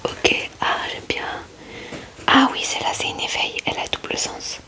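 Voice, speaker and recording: Whispering woman, bright and breathy. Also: moderate pace. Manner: hushed, murmuring short asides to viewers. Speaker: ASMR creator. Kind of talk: whispered ASMR card unboxing commentary. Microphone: close ASMR microphone.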